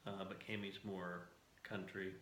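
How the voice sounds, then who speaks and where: A man's voice: low-pitched, little pitch movement, unhurried, a bit bright. An American man talking casually and plainly, in a conversational interview style. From United States, moved around before settling in Southern Ohio. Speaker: house-hunting show applicant. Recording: echoey room with faint background noise.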